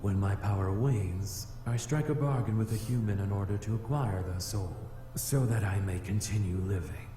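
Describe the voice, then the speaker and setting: An actor playing an aloof, proud flame demon in a visual novel game, speaking formally and easily flustered. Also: male voice, lowish voice, warm tone, natural voice. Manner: formal, measured, haughty wording. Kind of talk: scripted visual novel character acting. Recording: echoey voice over background music.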